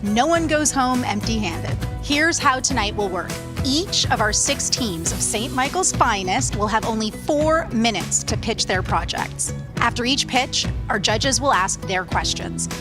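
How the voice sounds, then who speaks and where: A woman's voice: fairly high pitch, lively intonation, measured pace, over music. A woman hosting an event, reading a scripted, upbeat announcement. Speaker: event host.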